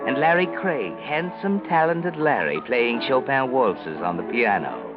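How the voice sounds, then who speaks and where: An actor playing a sinister, all-knowing storyteller in a 1940s radio mystery, narrating in an ominous, deliberate, dramatic way. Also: male voice, medium pitch, very animated. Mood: sly, taunting. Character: sinister, omniscient male storyteller who walks by night. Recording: dull 1940s radio recording. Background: loud dramatic music.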